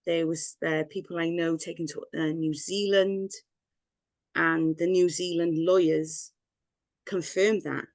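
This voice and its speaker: A woman's voice: fairly low pitch, fairly even intonation, unhurried, no echo. A woman from Wales, a guest telling her own story in a rambling, candid way with frequent laughs. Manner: rambling, personal, laughs often. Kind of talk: candid personal story in interview.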